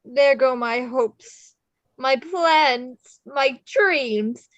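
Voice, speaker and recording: A woman's voice: high voice, drawn-out words, no echo, dull audio. A woman playing Jack, a theatrical skeleton man who fancies himself Santa, declaiming melodramatically at a script table read. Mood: dejected, mournful.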